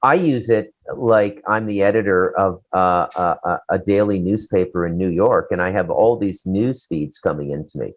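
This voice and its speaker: A man's voice: deep voice, moderate pace, hardly any pauses. A man co-hosting a casual talk show, giving opinions in a rambling, blunt, profane way. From Canada.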